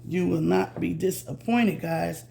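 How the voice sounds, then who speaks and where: A slightly hoarse woman chats through a home cooking vlog, repeating herself and calling her viewers "guys". Her voice has a gravelly, creaky edge. Faint noise and pan sizzle sit behind her in the kitchen. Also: on the low side, measured pace. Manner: chatty, says "guys" constantly, repeats herself. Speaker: cooking channel host. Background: food sizzling in a pan.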